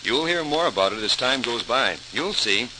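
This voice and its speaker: An actor playing an eager, earnest grown son in old-time radio drama, explaining things with enthusiasm. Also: male voice, medium pitch, animated, normal speed, natural voice. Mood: enthusiastic. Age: young adult. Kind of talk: 1940s radio drama acting. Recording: noisy, crackling old radio transcription.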